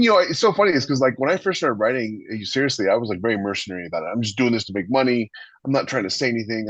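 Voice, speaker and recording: A man with a husky voice explaining his views on a podcast in a casual but emphatic, opinionated way. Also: on the low side. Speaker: podcast host and author. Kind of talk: casual writing discussion. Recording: roomy, slightly dull lo-fi microphone.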